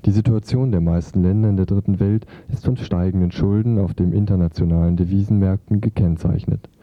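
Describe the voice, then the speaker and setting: A man's voice: low-pitched, steady tone, fairly quick, no room sound. A man narrating a scripted report as a radio reporter. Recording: dull, lo-fi radio recording.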